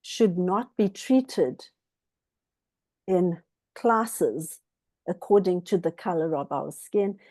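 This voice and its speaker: A woman from Zimbabwe giving a candid, earnest personal account as a guest author at a book club, often halting as she reflects. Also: fairly low pitch, lively intonation, mellow, no echo.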